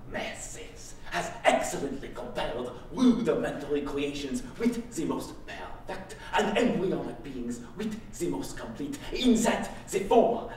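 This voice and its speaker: A man playing an eccentric French playwright, giving a pompous, halting curtain speech in a live theatrical performance. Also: a little high, a little mellow. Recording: very echoey room, distant mic, faint noise.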